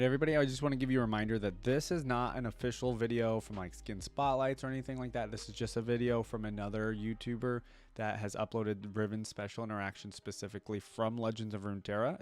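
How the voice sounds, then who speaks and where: A man with a fairly low voice hosts a reaction video. He comments casually on video game audio, chatty and rambling as he thinks aloud. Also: darkish tone.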